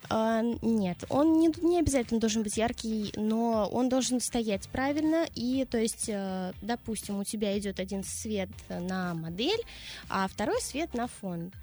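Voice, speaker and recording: A woman's voice: on the high side, expressive, measured pace, a little mellow. Hesitant young woman radio guest. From Russia. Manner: hesitant, many fillers and restarts. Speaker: radio show guest, videographer. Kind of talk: casual interview answers.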